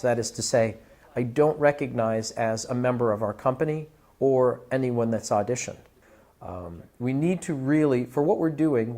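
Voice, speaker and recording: A man speaking in a documentary interview as an audiobook director, with measured, deliberate and articulate phrasing. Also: medium pitch, darkish tone. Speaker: audiobook director and casting scout.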